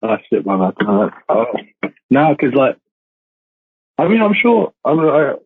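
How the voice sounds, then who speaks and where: A man's voice: mid-range voice, sing-song, unhurried, husky, dry recording. Young man on a tinny call line. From India. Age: 20s. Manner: rambling, many "like" fillers, self-deprecating. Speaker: podcast guest, law graduate. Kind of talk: casual chat over a call. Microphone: calling in remotely. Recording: tinny, phone-like call audio.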